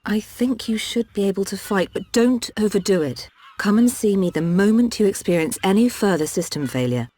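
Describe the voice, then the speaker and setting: An actress playing a caring, serious healer in a video game, with measured, gentle delivery and a dark, husky voice. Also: female voice, medium pitch, expressive, close to an everyday voice. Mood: concerned. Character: caring, grave female healer and mechanic, candid adviser. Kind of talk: dramatic video game voice acting.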